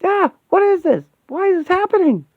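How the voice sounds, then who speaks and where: Brief: enraged superhuman woman, put on by a man, shrill falsetto, dry tinny recording. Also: big pitch swings, normal speed, no echo, heavily acted. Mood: distraught. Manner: shrieking, raging falsetto. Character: furious hulking superhuman woman. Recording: tinny phone-like audio, dry.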